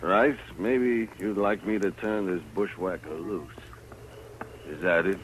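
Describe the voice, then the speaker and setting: Low-voiced, menacing American lynch-mob leader. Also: male voice, slow. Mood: angry. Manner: taunting, menacing. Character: cruel vengeful man leading a Wild West lynching. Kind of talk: 1950s radio western acting. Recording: noisy vintage radio drama recording.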